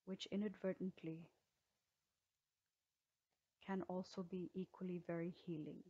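A woman yoga teacher leading a guided healing meditation in a slow, soothing way with gentle pauses. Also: fairly low pitch, little pitch movement, slightly rough, highs cut off.

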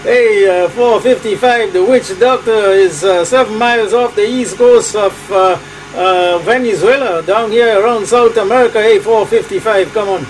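Trinidadian guy on his radio at home, really high voice, hearty call sign patter, noisy room hum. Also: moderate pace. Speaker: amateur radio operator. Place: small cluttered room at home.

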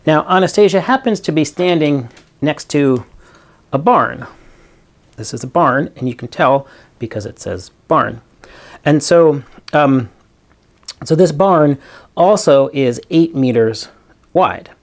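A man's voice: a little high, animated, slow, long pauses. A man in his fifties, a physics professor recording a video lecture in a small room, explaining with toy props and thinking aloud with ums. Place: small room in front of a green wall.

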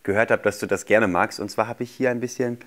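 A man's voice: on the low side, steady tone, fast talker. A man in his thirties, a magician guest on a children's show, explaining things simply to young viewers. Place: small indoor TV studio set. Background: background music.

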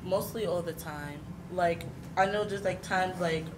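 A young American woman speaking candidly and informally as a panelist, haltingly, with lots of "like", into a handheld mic in a meeting hall. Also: lowish voice. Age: young adult. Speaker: panelist, young mother sharing experience. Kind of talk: candid personal testimony at a panel. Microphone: handheld microphone. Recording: handheld mic, noisy room.

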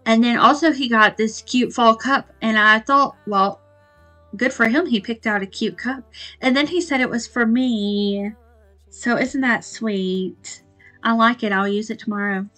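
A woman's voice: a little high, a little slow, low-quality recording. Chatty woman in her kitchen. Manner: chatty, rambling with fillers. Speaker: homemaking vlogger. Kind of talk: casual chat to camera. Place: small home kitchen, indoors. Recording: soft background music.

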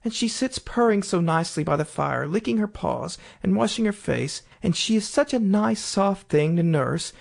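A woman voicing a polite, eager little girl in a storybook, earnest and quick to apologise. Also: mid-range voice, lively intonation, creaky, a little mellow, voice pushed up. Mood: soothing, dreamy.

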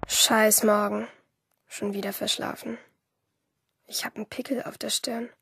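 An actress playing a lonely, sardonic teenage schoolgirl who narrates her day in a radio drama, in a breathy voice. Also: mid-range voice, little pitch movement, unhurried, fairly bright tone, no echo, whispered, clean studio sound, exaggerated voice. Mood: sullen, sarcastic.

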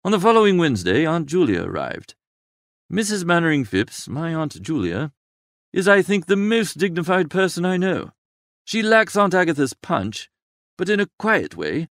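A man reading a first-person literary story aloud as an expressive narrator. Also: a little high, dry recording, clean studio sound. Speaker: audiobook narrator.

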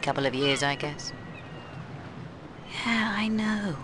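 A woman playing a subdued, world-weary female character in a video game, quiet and resigned. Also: sits low, expressive, slow, noisy background.

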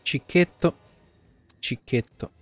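A man's voice: slow, no echo, faint music behind. A man in his thirties doing live gameplay commentary, grumbling and foul-mouthed as he chats casually. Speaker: video game streamer. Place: indoor room at a streaming desk.

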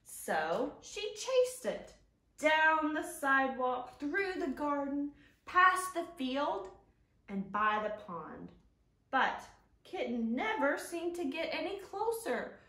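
Expressive storytime woman with lively, swooping pitch. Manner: expressive, simple child-directed delivery with drawn-out key words. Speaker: children's librarian, storytime host. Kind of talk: storytime presentation and picture-book read-aloud for young children. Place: living room at home, indoors. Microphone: camera a few feet away. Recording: roomy sound from camera mic.

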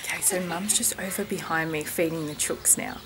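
A woman's voice: deep voice, steady tone, brisk, a crowd in the background. A young Australian woman talking casually to the camera in a vlog. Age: young adult. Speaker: vlogger. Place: outdoors on a farm. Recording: noisy outdoor ambience with birds.

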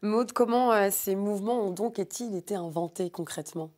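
A woman in her thirties hosting a morning TV show, asking short questions and reacting quickly, recorded on a lapel mic in a bright studio. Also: medium pitch. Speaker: TV host. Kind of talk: morning TV chat.

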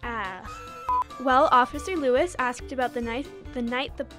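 A woman's voice: high voice, normal speed. A woman voice actor reading script lines and restarting takes in a studio. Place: small recording studio. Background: music.

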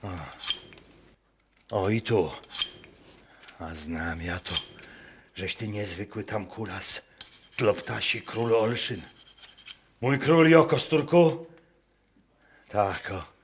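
An actor playing a simple, hard-drinking Masurian woodcutter who sees visions, in rustic, halting dialect for a radio play. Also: male voice, on the low side, noisy background. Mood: weary muttering. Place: wooden barn, staged with musicians. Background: music.

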